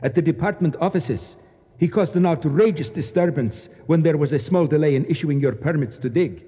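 An actor playing a proud, bitter Egyptian nationalist official in a radio drama, speaking formally and precisely with declamatory flourishes. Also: male voice, fairly high pitch, fairly quick, warm tone, husky. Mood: formal, reproachful. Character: proud, embittered, fanatical Egyptian nationalist official, courteous yet grim. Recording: dull sound with long echoing reverb.

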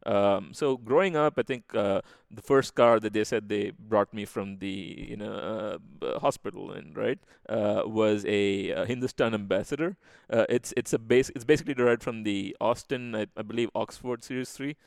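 A man with an Indian accent talks casually as an interview guest. His voice sits low with a fry to it, and the sound is close and dry. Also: normal speed, few pauses, no room sound. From Chennai, India. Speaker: podcast guest.

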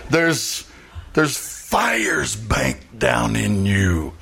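A man playing a drunk, smitten writer, halting and poetic, in a 1940s-style radio comedy. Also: medium pitch, wildly swooping pitch, gravelly, a bit bright, husky, faint music behind, exaggerated voice. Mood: smitten, ardent.

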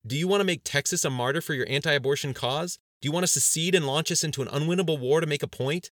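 A man voicing a panicked, scornful male politician in an audiobook, shouting his objections with incredulous mockery. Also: a little high, fast, no echo, studio quality, pitched above the performer's own voice, lightly acted. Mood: heated. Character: panicked, scornful male cabinet member arguing in dread. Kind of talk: dramatized audiobook dialogue.

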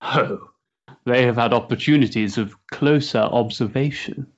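An actor playing a restless, sensitive young Victorian gentleman in a stage play, hesitant and politely mannered. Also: medium pitch, measured pace, slightly rough, highs cut off. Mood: amused, dry. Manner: hesitant, polite, mannered upper-class diction. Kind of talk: period stage-play acting.

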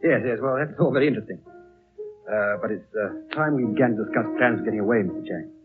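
An actor in a 1930s radio drama playing an impatient traveler anxious to leave, halting and insistent, repeating himself. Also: male voice, fairly low pitch, lightly acted. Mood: impatient, uneasy. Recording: old tinny radio recording, music behind.

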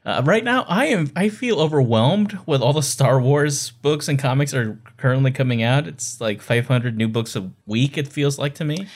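Animated man in casual podcast chat. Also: mid-range voice, moderate pace, hardly any pauses. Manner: playful, emphatic, repeats words for effect. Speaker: podcast co-host. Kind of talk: casual book discussion chat.

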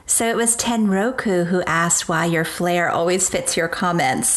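A woman's voice: lowish voice, a little slow, fairly bright tone. A woman from the East Coast of the United States, co-hosting a casual podcast and reading out listener messages in a friendly way. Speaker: podcast co-host. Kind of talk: casual chat.

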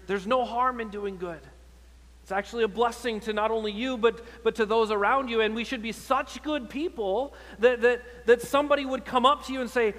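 A man's voice: high-pitched, a bit bright. A man preaching a sermon as a pastor, earnest and exhortative, posing rhetorical questions and often repeating his phrases. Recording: long hall reverb on sermon audio.

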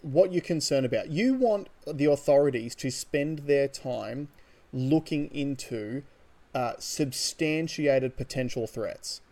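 A man's voice: medium pitch, lively intonation, takes it slowly, mellow. An Australian man co-hosting a podcast, talking casually and emphatically through his opinions on current affairs. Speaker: podcast co-host. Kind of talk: casual opinionated political discussion.

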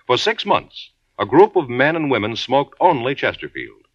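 A male commercial announcer reading in an emphatic, persuasive 1950s style. His pitch swings lively, and the sound is dry and dull like an old radio broadcast. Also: moderate pace, no echo.

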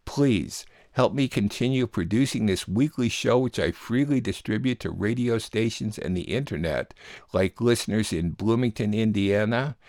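A man's voice: on the low side, a little mellow, dry recording, studio quality. A man hosting a radio news show, reading scripted announcements and headlines. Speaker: host and producer of a weekly radio news show.

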